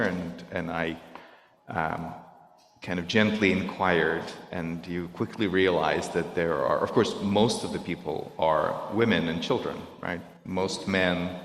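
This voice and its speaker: A middle-aged man whose native language is Russian, speaking earnestly and haltingly to a congregation, searching for his words. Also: low-pitched. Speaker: guest speaker at a service. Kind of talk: reflective talk to a congregation. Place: synagogue sanctuary. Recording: echoey hall, long reverb.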